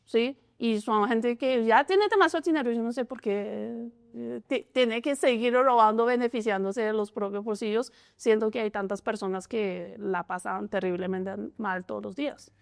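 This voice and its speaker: A woman's voice: a little high, steady tone, moderate pace, vocal fry. A woman, answering interview questions in a hesitant way, often restarting and filling with "o sea". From China. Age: 40s. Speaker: statistician and interviewee.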